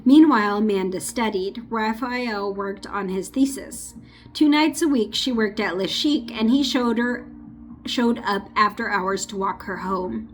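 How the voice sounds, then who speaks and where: A woman's voice: mid-range voice, measured pace, soft background music. A woman reading a true-crime book aloud in a casual, unpolished way. She stumbles over names, restarts lines, and breaks off for chatty asides. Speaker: audiobook reader. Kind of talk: amateur audiobook reading with asides.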